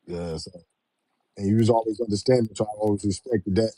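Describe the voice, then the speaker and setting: A man's voice: on the low side, a little fast, warm tone, rough recording. A man hosting a show, chatting casually in an informal, slangy way. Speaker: show host. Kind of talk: casual live interview chat.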